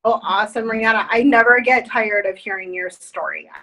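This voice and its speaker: A woman hosting a group video call, giving an enthusiastic, rallying testimonial pitch through a laptop webcam. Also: fairly quick, fairly bright tone, a little hiss or hum, rough recording.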